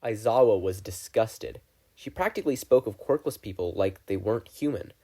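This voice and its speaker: A man reading a story aloud as a narrator, in an expressive scripted style. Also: lowish voice, moderate pace. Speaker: fan fiction podfic reader.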